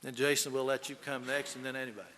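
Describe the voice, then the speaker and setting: Bright male officiant in a reverberant hall. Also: medium pitch, little pitch movement, fast, a little hiss or hum. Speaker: officiant leading a memorial service. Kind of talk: casual, inviting remarks to a gathering. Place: large hall. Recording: distant voice in echoey hall.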